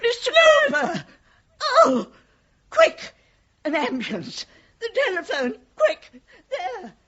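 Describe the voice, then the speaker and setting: A woman's voice: very high-pitched, wildly swooping pitch, slow, fairly bright tone, exaggerated voice. An actress playing a genteel, girlish, effusive woman of eighty-five with a British accent in a radio play. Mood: panicked. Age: 80s. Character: frail, genteel, giddy eighty-five-year-old widowed lady. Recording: dull lo-fi radio recording.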